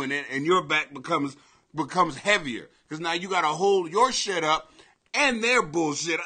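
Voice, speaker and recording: Bright-toned swearing man on a webcam. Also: on the high side. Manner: loose, swearing, loud bursts and laughing asides. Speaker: host of a live webcam show. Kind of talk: casual profane live commentary. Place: home basement room. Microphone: webcam at desk.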